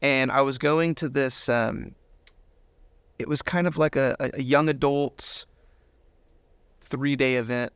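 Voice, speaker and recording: A man's voice: medium pitch, fairly even intonation, slow pace, long pauses, fairly bright tone, clean tone, no room sound. A man giving a personal testimony as an interview guest, retelling moments with quoted dialogue acted out.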